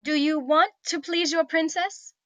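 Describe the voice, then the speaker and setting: A woman's voice: very high-pitched, steady tone, a little slow, a bit bright, dry recording, highs cut off. A woman at a table read playing an imperious young princess with a haughty, declamatory delivery. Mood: commanding. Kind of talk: table read of a comedy fantasy script.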